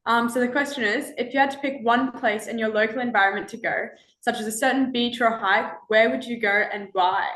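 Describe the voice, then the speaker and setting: A teenage girl leads an icebreaker for other students. She speaks hesitantly and often starts with "um". Her voice is a bit high and echoes around the room. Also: steady tone, fairly quick, few pauses, fairly bright tone. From Australia. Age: teens. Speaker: student presenter.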